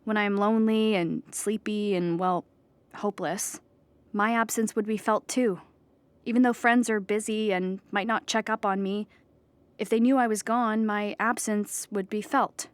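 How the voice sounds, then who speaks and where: A woman's voice: medium pitch, fairly even intonation, unhurried, no echo, natural voice. A woman playing an earnest, lonely, depressed clown pleading before judges in an audio drama, rambling and childlike as she justifies herself. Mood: sad, subdued.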